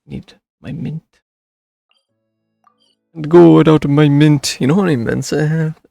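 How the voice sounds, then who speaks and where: A man with a gravelly, husky voice giving casual, rambling gameplay commentary, muttering to himself on a close mic. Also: a little high, fairly even intonation, a little slow, mellow, dry recording. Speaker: video game streamer. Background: game music.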